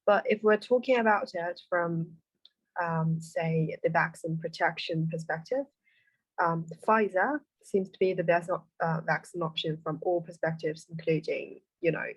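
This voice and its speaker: A woman's voice: lowish voice, unhurried, slightly rough, no room sound, poor audio. A woman in her twenties answering interview questions, with many fillers. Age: 20s.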